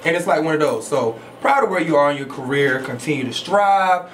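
Higher-voiced American man hosting, roomy sound. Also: animated, fairly quick, fairly bright tone, distant mic. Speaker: radio show host. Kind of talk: casual radio interview. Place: small radio studio with foam panels. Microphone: studio microphone on boom arm. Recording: roomy, off-mic, faint background noise.

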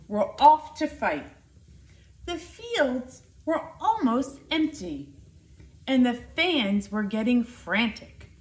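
A middle-aged woman reading a children's picture book aloud in an expressive, deliberate way, stressing key words. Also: sits high. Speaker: school nurse reading to children. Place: indoor living room by a fireplace. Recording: echoey living room.

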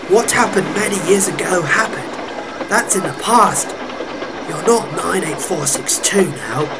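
A soft, patient female engine from a children's storybook, voiced by a male narrator. The voice is raised and lilting, a little hesitant, with music underneath. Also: high voice, pitched above the performer's own voice, rough recording. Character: gentle, patient female tank engine who gives calm advice. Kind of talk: children's storybook character acting.

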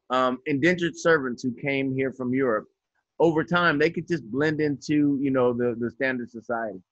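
A man's voice: mid-range voice, fairly bright tone, no room sound, dull audio. A man in his fifties, a guest educator speaking in an interview over a video call, earnest and reflective, often restarting his phrasing. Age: 50s. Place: indoors by a window. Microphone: video call webcam.

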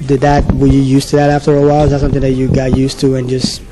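A man's voice: medium pitch, completely flat delivery, quick pace, over music, poor audio. A man asking sports interview questions in a friendly, conversational way into a handheld mic. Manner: friendly, conversational, hesitant fillers.

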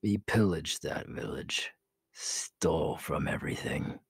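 A man voicing a cocky, possessive, cruel young werewolf king in an ASMR audio roleplay, husky and breathy, often dropping to a whisper, with a teasing, menacing manner. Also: rumbling low, no room sound, studio quality, exaggerated voice. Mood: gloating, teasing. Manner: slow, teasing, menacing drawl.

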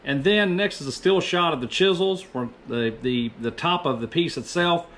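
A man's voice: on the high side, normal speed, fairly bright tone, a little hiss or hum. A man in his fifties giving a casual, rambling voice-over as a woodworker, often correcting himself. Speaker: woodworker presenting own project. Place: small indoor woodworking workshop.

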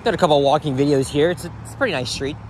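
Higher-voiced young guy, street noise. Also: very fast, a little mellow. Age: young adult. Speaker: vlogger. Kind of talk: casual walking vlog. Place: outdoors on a city sidewalk. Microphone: handheld camera. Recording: outdoor street traffic noise. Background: cars and street ambience.

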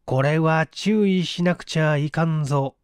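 A man narrating a mystery story as an audiobook reader, in an expressive, measured storytelling manner on a close microphone. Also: on the high side, smooth, dry recording. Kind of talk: scripted literary narration, mystery story reading.

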